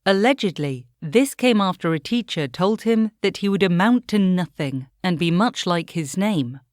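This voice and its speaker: A woman's voice: on the low side, no room sound, clean studio sound. A woman hosting a documentary series, reading scripted narration.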